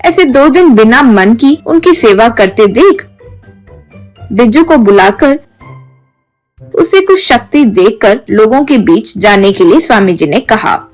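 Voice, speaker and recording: A woman's voice: fairly high pitch, lively intonation, unhurried, soft background music, poor audio. A woman reading a scripted children's story as its narrator.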